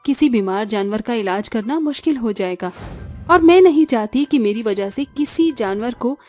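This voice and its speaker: A woman's voice: a little high, expressive, moderate pace, dark tone, faint music behind. A woman voicing a caring, principled young village animal doctor in a cartoon, earnest and firm. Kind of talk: cartoon story voice acting.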